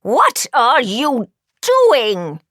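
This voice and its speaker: Crusty old witch teacher, raspy n dry, super slow drawly, stern and scornful, storybook style. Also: fairly low pitch, big pitch swings, fairly bright tone, no room sound, full character voice. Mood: indignant.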